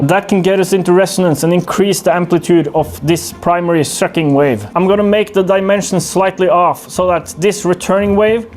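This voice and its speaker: A man with a notably high voice hosts a DIY workshop vlog. He explains in a technical way and reasons aloud as he goes. Faint music plays behind him. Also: darkish tone. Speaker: host of a DIY engine-building channel.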